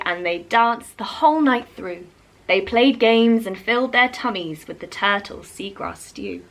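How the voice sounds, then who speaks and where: A woman's voice: a little high, low-quality recording. A woman storyteller reading a rhyming picture book aloud expressively for young children. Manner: animated, addresses young listeners.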